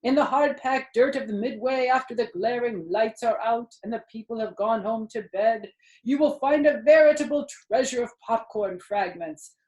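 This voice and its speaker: A woman's voice: on the high side, dark tone, reverberant, voice pushed up, poor audio. A woman voicing a chatty, worldly old female sheep in a storybook reading.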